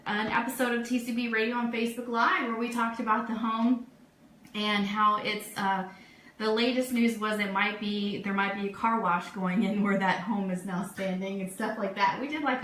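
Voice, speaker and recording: A woman's voice: medium pitch, fairly bright tone. A woman hosting a casual live webcast, chatting informally through news for her listeners. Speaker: radio show host. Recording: roomy echo, faint background noise.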